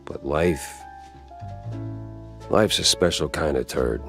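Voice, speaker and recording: An actor playing a world-weary private detective and war veteran in a noir game, deadpan and sardonic. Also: male voice, a bass voice, creaky, faint music behind, heavily acted. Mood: weary, brooding. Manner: deadpan, sardonic, slow drawl. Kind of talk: noir game narration and dialogue.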